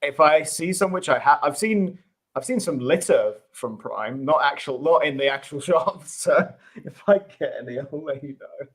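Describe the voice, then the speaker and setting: A man from England, a guest on a podcast, chatting in a relaxed, offhand way. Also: on the high side, normal speed. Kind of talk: casual chat.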